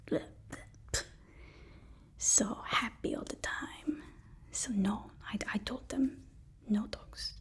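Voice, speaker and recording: Casting: a woman as a fawning supervillain's assistant, breathy whisper, slow and hesitant, ASMR roleplay. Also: mid-range voice, a little mellow. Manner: deferential, placating, halting.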